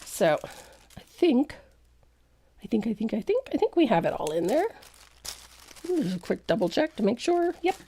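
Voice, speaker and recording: A woman's voice: on the high side, very animated, normal speed, long pauses, mellow, slight background noise. A woman with a congested, stuffed-up voice from a cold, leading a painting tutorial in a chatty, rambling way with frequent self-deprecating laughs. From Canada. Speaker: painting instructor.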